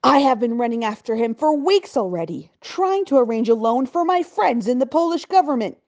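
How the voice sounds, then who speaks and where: Raging, pompous governor, read by a woman; booming, scornful, big pitch leaps. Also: big pitch swings, fairly quick, a little mellow. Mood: furious ranting. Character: arrogant, furious male provincial governor.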